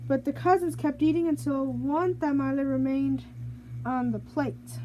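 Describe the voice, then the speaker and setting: Woman storybook reader, high-pitched and unhurried, halting delivery with rereads, slight noise on the recording. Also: fairly even intonation, darkish tone, low-quality recording. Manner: halting, stumbles and rereads phrases. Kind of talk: reading a children's picture book aloud.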